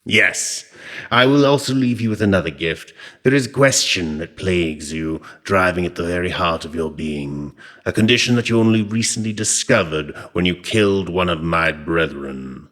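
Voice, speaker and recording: Deep, booming ancient god of chaos. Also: male voice, animated, moderate pace, a bit bright. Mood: grand, imperious. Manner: theatrical, grandly sardonic, grumbling. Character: ancient trapped Egyptian chaos god, petulant and wry. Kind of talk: audio drama acting. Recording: long cavernous reverb on the voice.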